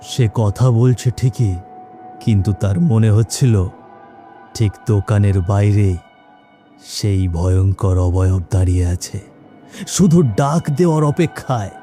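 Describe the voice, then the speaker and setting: A man narrating a scripted horror tale dramatically, with measured pauses. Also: fairly low pitch, dark tone.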